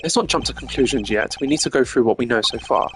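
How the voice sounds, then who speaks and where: A man's voice: mid-range voice, quick pace, noisy background, lightly acted. A man voicing a stern, cerebral investigator in a video game, speaking formally and precisely with dry sarcasm. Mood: measured, analytical. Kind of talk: hobby voice acting of a video game. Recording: music behind the voice.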